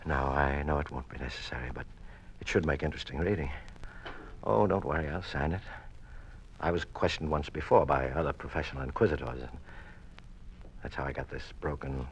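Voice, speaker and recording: An actor playing a weary, wry, defiant imprisoned senator in an old-time radio drama, speaking deliberately and with irony. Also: male voice, rumbling low, slight background noise. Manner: deliberate, hesitant, ironic. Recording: muffled old radio recording.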